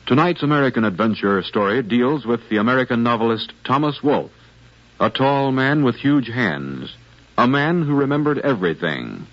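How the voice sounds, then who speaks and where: A man giving formal, deliberate scripted narration as a 1950s radio announcer. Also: mid-range voice, lively intonation. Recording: dull 1950s radio recording.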